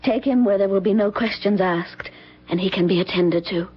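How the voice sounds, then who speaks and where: Radio melodrama heroine, female actor: devoted, anguished, earnest, husky mid-range voice on muffled vintage recording. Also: medium pitch, normal speed, close to an everyday voice. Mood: resolute, urgent. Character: anguished, devoted, resolute young woman in love. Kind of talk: old-time radio melodrama acting.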